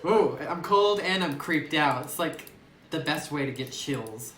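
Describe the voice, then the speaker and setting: A man's voice: fairly high pitch, lively intonation, a little slow, fairly bright tone. Man thinking aloud through a spooky game. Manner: musing, easily spooked, thinks aloud with fillers. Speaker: gameplay streamer. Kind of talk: let's play commentary, reading game text aloud. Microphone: phone recording away from the speaker. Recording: echoey room, distant phone mic, faint noise.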